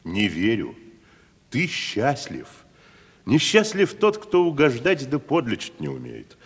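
An actor playing a pompous, worldly wandering gentleman who declaims theatrically in a classic Russian radio drama. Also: medium pitch, wildly swooping pitch, slow pace, husky, heavily acted. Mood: mocking, philosophical. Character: worldly, theatrical wandering gentleman, grandiloquent nephew of a rich landowner. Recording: long hall reverb.

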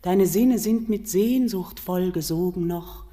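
A woman's voice: lowish voice, takes it slowly. An actress playing a mysterious mountain queen in a classic German radio play, solemn and incantatory, tender yet commanding. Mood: tender urging. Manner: solemn, incantatory verse delivery, hushed at times. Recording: radio play with long hall reverb.

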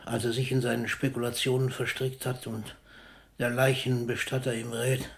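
A man's voice: mid-range voice, flat delivery, normal speed, vocal fry, natural voice. Ailing old poet, wry and fatherly man acting. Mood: wry, musing. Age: older. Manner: philosophical, wryly theatrical, with whispered asides. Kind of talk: German radio play acting.

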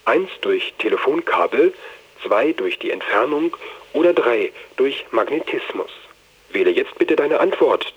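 A man's voice: mid-range voice, breathy edge. A man voicing an automated telephone quiz announcer, giving callers scripted instructions over a phone line. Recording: narrow, muddy telephone line sound.

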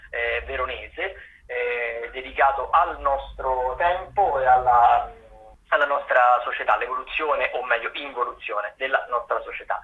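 A man speaking as a theatre correspondent, running through event listings in a hesitant, semi-improvised way, repeating himself as he searches for words. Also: medium pitch. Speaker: theatre correspondent on a radio show. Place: calling in to a radio programme by phone. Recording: narrow, tinny phone line.

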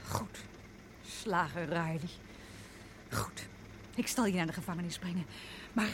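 A woman's voice: on the low side, measured pace, close to an everyday voice. An actress playing a headstrong, blunt woman journalist in a radio play, curt, challenging and emotional. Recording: noisy radio play recording, car sounds. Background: car engine and road noise.

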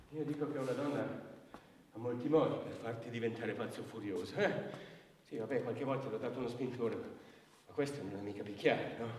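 A volatile, bitter husband, swinging pitch. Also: male voice. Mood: defensive, dismissive. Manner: insistent, repetitive, swinging from muttered excuses to outbursts. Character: abusive husband, bitter, self-justifying, volatile, aggrieved. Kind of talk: theatrical monologue. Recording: echoey hall from far off, faint noise.

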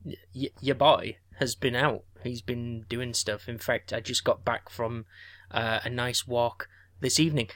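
A man's voice: mid-range voice, steady tone, a little slow, fairly bright tone. A man around thirty, co-hosting a podcast in casual chat, joking and swearing freely. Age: 30s. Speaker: podcast co-host.

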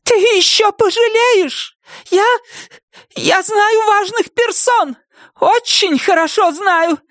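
A woman voicing a timid, high-strung older woman with a high, thin, trembling voice, shrieking in panic. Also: takes it slowly, fairly bright tone, no room sound, clean studio sound, exaggerated voice. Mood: panicked shrill. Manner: shrieking, panicked, near tears. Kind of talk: audiobook character voice.

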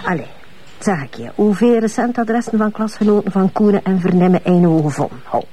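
An actress playing a Flemish policewoman in a television crime drama, brisk and chiding but easily flustered. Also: medium pitch, animated, mellow, close to an everyday voice. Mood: scolding. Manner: chiding, hesitant when cornered.

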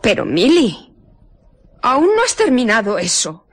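An actress from a radio drama playing a nosy, fretful, chatty village innkeeper who rambles, with an accent from Spain. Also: female voice, on the high side, huge pitch swings, a little slow, full character voice. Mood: pitying, curious. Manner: rambling, muttering asides to herself.

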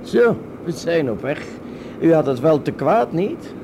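An actor in a radio play playing a timid, polite airline passenger with a hesitant, stammering, apologetic and formal manner. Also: male voice, a little high, big pitch swings, unhurried. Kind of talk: Dutch radio play acting. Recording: loud aircraft cabin noise behind.

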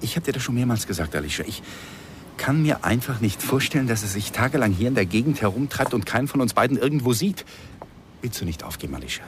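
An actor playing a worried, placating lover in a radio drama, reasoning in a hesitant, measured, coaxing way. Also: male voice, medium pitch, big pitch swings, a little hiss or hum, close to an everyday voice. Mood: worried, insistent.

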